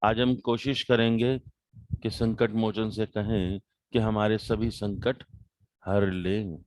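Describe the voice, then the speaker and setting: A man with an Indian accent leading a guided meditation, calm and measured, with deliberate pauses between short phrases. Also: fairly low pitch, no room sound.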